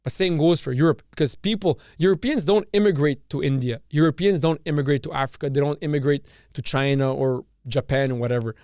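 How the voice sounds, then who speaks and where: A man's voice: on the high side, a little fast, few pauses, darkish tone, clear, resonant tone, no echo, clean studio sound. A man from Serbia hosting a podcast, giving a blunt, profane solo monologue full of repeated words and restarts. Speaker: podcast host.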